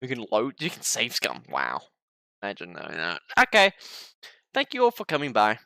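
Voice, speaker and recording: A man's voice: on the low side, huge pitch swings, moderate pace, gravelly, pushed and bright, slight background noise. A young man winding down a live gaming stream, chatting casually and stumbling over his words. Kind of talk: casual end-of-stream chat.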